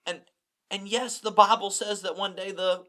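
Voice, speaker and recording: A man's voice: sits high, steady tone, normal speed, pushed and bright. A middle-aged man who is a pastor, talking candidly and bluntly in a casual podcast chat, often repeating words as he starts a thought. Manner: candid, blunt, self-deprecating.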